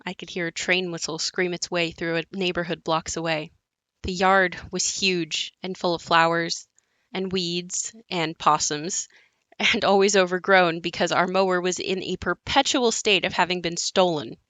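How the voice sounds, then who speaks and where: A woman's voice: lowish voice, a bit bright, no room sound, natural voice. A woman playing a wry grown daughter narrating memories of her crumbling childhood home in an audio play, with a reflective, understated storytelling delivery. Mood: wistful. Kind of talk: scripted monologue in an audio play.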